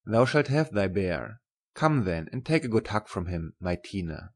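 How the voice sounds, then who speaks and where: Woman voicing a deep, booming German professor. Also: very animated, darkish tone, husky, heavily acted. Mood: affectionate. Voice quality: big, booming voice. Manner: brisk, emphatic, old-fashioned phrasing. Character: stout kindly middle-aged German male professor, big-hearted and emphatic.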